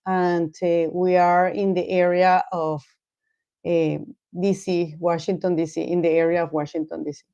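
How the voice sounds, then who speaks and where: A middle-aged woman from Venezuela with a Venezuelan Spanish accent and Spanish as her first language, speaking slowly and graciously as a guest mindfulness teacher. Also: lowish voice, flat delivery, dark tone. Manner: slow, careful, gracious. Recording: dull lo-fi audio.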